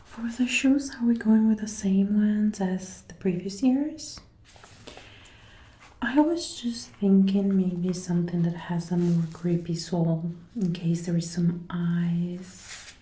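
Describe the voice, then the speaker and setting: A woman doing a gentle, attentive ASMR roleplay as an outfit designer, soft-spoken and often whispering, breathy and close to a binaural mic. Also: mid-range voice, slow pace, reverberant, rough recording. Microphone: close binaural microphone, ear to ear.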